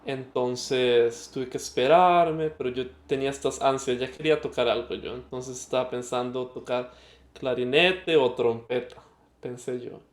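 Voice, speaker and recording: A young man with a Costa Rican accent recounts his story as a podcast guest. He speaks at a mid pitch, reflective and halting, with many fillers and restarts. The recording sounds echoey. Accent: Costa Rican Spanish. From Costa Rica. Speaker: guest musician. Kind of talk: interview storytelling.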